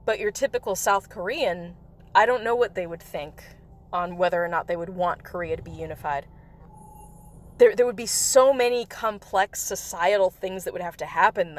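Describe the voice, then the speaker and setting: A woman hosting a game livestream in casual, rambling chat, her voice slightly raspy from coughing. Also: lowish voice. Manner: rambling, chatty, with frequent laughs. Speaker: livestream host. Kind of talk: casual chat while playing a mobile game.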